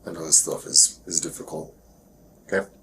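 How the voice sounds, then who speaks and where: Male detective, low-pitched, reassuring and informal interviewer, faint noise on bodycam audio. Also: steady tone, normal speed, pushed and bright. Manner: low-key, reassuring, casual phrasing. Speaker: police detective. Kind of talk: informal questioning in a police interview. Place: police questioning, recorded on bodycam. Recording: noisy police bodycam audio.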